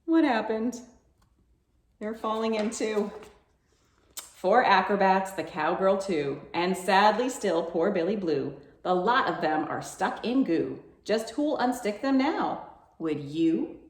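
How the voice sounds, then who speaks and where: Female storytime reader, unhurried, animated pitch, coaxing questions, echoey room. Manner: coaxing questions to young listeners. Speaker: children's library storytime reader. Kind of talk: expressive read-aloud for children.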